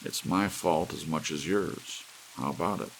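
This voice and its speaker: A man voicing an excitable druggist fighting despair, earnest and exclamatory, in an audiobook story. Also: very deep voice, measured pace, vocal fry, slight background noise, heavily acted, rough recording. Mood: resolute.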